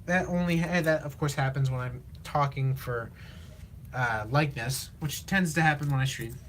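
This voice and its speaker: A teenage man from Illinois, chatting casually on a live stream while he builds, rambling with lots of fillers, his voice slightly raspy from long talking. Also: medium pitch, a bit bright, a little hiss or hum. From Illinois, USA. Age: teens. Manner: rambling, halting, many fillers and restarts. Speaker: livestreamer.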